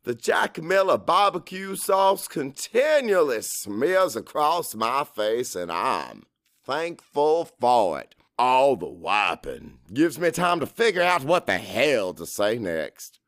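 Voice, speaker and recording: A man's voice: medium pitch, very animated, takes it slowly, a bit bright, dry recording, clean studio sound, full character voice. A man playing a middle-aged Cajun father, anxious and guarded, narrating his own story in a brooding, conversational audiobook style. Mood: brooding, wry. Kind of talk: first-person dramatic audiobook narration.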